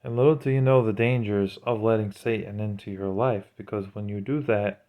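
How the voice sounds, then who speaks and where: A man's voice: on the low side, steady tone, normal speed, narrow, tinny audio. A man delivering an informal, sermon-like monologue as a religious vlogger, speaking in an earnest, admonishing way. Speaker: vlogger giving religious commentary.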